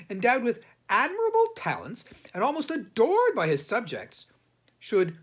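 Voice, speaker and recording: A man narrating a classic satirical novel as an audiobook reader, in a theatrical, emphatic manner with dramatic pauses. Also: high-pitched, fairly bright tone.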